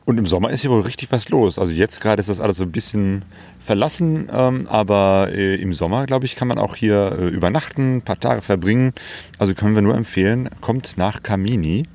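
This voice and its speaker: A man narrating a casual travel vlog outdoors, with a relaxed manner full of fillers. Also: on the low side, a little fast, vocal fry. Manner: relaxed, filler-heavy talk. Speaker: travel vlogger.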